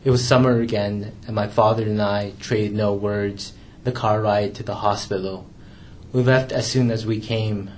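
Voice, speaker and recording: A young man reads from his memoir in a lowish, steady voice, measured and restrained, catching on a word now and then. A faint room hum sits under the reading. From Canada. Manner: measured, restrained reading with occasional small stumbles and repeats. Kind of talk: literary reading aloud.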